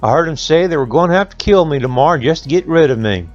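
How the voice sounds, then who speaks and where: Man plays old worn-out storybook farm beast, folksy and complaining, animated pitch, music underneath. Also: fast, dull audio, close to an everyday voice. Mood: forlorn, complaining. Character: weary, forlorn old male farm animals.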